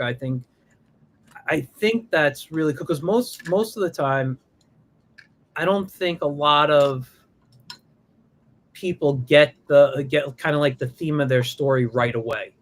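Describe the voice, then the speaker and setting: Give me a halting, friendly male podcast host. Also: medium pitch. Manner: friendly, halting, repeats words. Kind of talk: casual interview chat. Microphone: remote livestream call.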